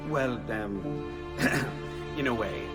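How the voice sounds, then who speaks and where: An actor playing a friendly, curious inventor in a video game, animated and musing, with an Italian accent. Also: male voice, medium pitch, drawn-out words. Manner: animated, musing, slightly theatrical. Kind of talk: dramatic video game acting. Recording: reverberant, with music behind.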